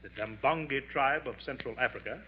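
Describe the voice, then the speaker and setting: An actor playing a theatrical, slyly sinister professor in a formal, florid manner, in 1950s radio mystery style. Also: male voice, mid-range voice, a little fast, lightly acted. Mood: grandly ominous. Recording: muffled, hissy 1950s radio recording.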